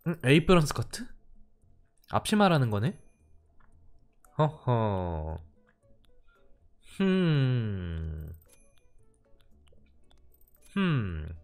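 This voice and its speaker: A man's voice: medium pitch, wildly swooping pitch, extremely slow, long pauses, soft background music. A young man casually commenting while playing a cozy life game, playful and reactive, chatting to his viewers. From standard-Korean-speaking region of Korea. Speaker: game streamer.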